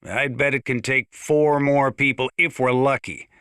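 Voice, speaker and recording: Gruff old sheriff, American accent, folksy, plain-spoken, sharp forceful tone, dry close sound, game radio monologue. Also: male voice, medium pitch, steady tone, a little slow, no echo. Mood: weary, grave. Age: older.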